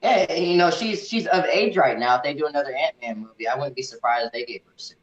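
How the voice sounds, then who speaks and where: Halting man in an echoey room. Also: mid-range voice. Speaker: co-host of a movie review show. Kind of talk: casual film discussion with live comments. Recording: dull, echoey room sound.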